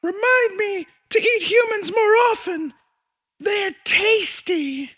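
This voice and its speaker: A man's voice: very high-pitched, animated, slow, sharp tone, voice pushed up, heavily acted. A man voicing a cheeky, gleefully gruesome monster big sister in an audiobook story. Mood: breezy.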